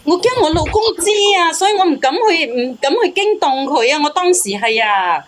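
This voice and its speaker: A woman's voice: sits high, big pitch swings, fairly quick, slight background noise. A woman caller, pleading and protesting defensively on a call-in show.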